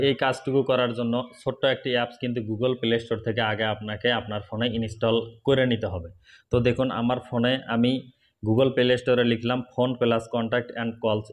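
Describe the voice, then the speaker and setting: Fast, even-toned man. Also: mid-range voice. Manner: addresses viewers directly, instructional. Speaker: host of a tech tips channel. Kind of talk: step-by-step phone tutorial narration.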